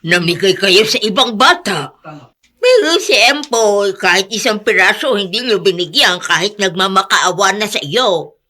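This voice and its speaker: A woman's voice: mid-range voice, animated, moderate pace, sharp tone. A woman playing a frank neighbour in a radio drama, teasing and reproachful in manner. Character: frank, teasing female neighbour challenging an older man. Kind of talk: Filipino radio drama acting.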